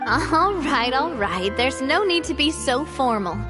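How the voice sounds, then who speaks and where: A cheerful young woman who runs her group in a game cutscene. She talks in a high, animated voice, playful and quick to wave off praise. Faint game music sits under her lines. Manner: lively, playful and self-deprecating. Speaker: group leader. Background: soft game music.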